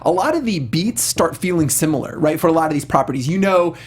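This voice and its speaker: Higher-voiced man, enthusiastic show host. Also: expressive, fast talker, a little mellow, husky. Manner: enthusiastic, agreeable, gushing. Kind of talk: casual panel discussion of video game films.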